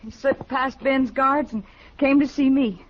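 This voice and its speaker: High-voiced, pleading frontier woman. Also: fairly even intonation, unhurried. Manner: earnest, pleading. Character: earnest frontier woman pleading for her man. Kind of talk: 1950s radio drama acting. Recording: dull, noisy 1950s radio recording.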